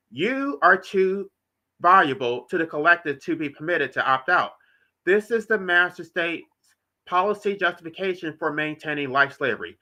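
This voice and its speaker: A man's voice: fairly high pitch, sharp tone. A congested man with a nasal voice hosts a solo livestream, reading his own writing aloud and then explaining it in plain, emphatic terms. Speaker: author and channel host.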